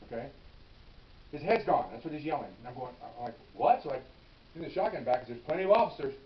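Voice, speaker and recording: A man's voice: mid-range voice, quick pace. Older man telling a cop story. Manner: animated, acts out his own yelling. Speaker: retired police officer telling stories. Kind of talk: casual storytelling to camera. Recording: roomy, distant, dull with hiss.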